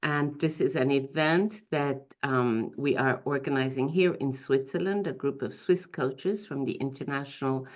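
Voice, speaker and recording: Low-pitched woman interviewer, polite and measured; muffled lo-fi audio. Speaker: interviewer, executive leadership coach. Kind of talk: interview host. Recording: dull lo-fi audio.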